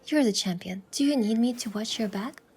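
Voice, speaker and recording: High-voiced young heroine over music. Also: female voice, fairly quick, a little mellow, slightly rough. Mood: playful. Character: young female heroine, excitable, playful, in love. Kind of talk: romance game voice acting with first-person narration.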